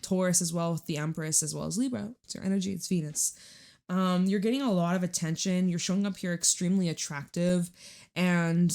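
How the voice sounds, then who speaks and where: A woman with a raspy, hoarse voice pitched a little low talks her viewers through a tarot spread. She is chatty and reassuring and swears in passing. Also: fairly even intonation, fairly quick, a little mellow. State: hoarse from screaming. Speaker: tarot reader. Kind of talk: casual tarot reading to viewers.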